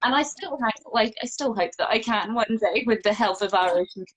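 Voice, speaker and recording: A woman's voice: fairly high pitch, brisk, low-quality recording. A young woman from Brittany, France, talking casually and earnestly as an enthusiastic interview guest. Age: young adult. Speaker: podcast guest, marine researcher.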